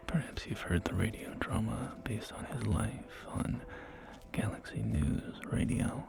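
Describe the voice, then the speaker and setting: A man's voice: on the low side, lively intonation, measured pace, creaky. A man performing a whispered ASMR roleplay as a courteous real estate agent, speaking in polite, hesitant sales patter, with music behind him. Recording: whisper under loud background music. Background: music and game audio.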